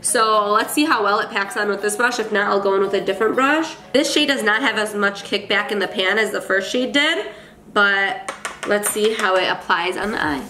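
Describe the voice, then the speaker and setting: A woman's voice: mid-range voice, normal speed, bright, forceful tone. A young woman with a cold, sounding congested and nasal, chatting about her makeup in a gushing, casual way. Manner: chatty and gushing, with filler ums. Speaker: makeup artist and beauty vlogger. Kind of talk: casual makeup tutorial chat. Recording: echoey room with faint music.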